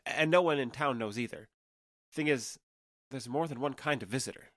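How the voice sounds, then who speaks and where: A man's voice: medium pitch, moderate pace, a bit bright, no room sound, studio quality, lightly acted. A man playing a young alien trader who passes as human in an audio drama, talking in a conversational, earnest and slightly hesitant way. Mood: earnest, explaining. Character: awkward, candid young male alien trader passing as human.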